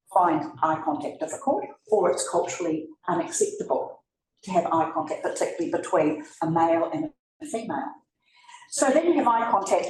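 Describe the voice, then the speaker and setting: A woman's voice: mid-range voice, a little mellow. A woman with a New Zealand accent giving a conversational talk to a live audience, turning to the listeners with asides. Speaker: presenter. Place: event room. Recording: roomy, from a distance.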